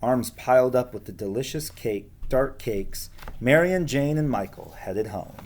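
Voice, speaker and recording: A man's voice: mid-range voice, lively intonation, normal speed, a little mellow, slight background noise. A man, a schoolteacher, reading a picture book aloud and chatting casually to his students.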